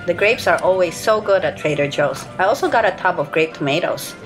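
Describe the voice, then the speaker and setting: A woman's voice: on the low side, fairly quick. Woman narrating a shopping haul over music. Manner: even, matter-of-fact listing of purchases. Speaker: grocery haul vlogger. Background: soft background music.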